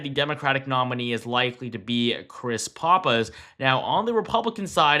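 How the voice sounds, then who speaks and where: A man's voice: mid-range voice, bright, forceful tone. An American man giving election prediction commentary as a political commentator, explaining things in a matter-of-fact manner.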